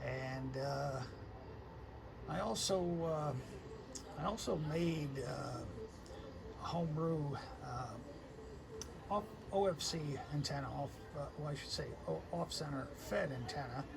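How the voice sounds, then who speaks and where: A man from Connecticut talking casually as an amateur radio operator, halting often in mid-sentence as he goes. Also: a little high, creaky, noisy background. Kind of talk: casual ham radio chat.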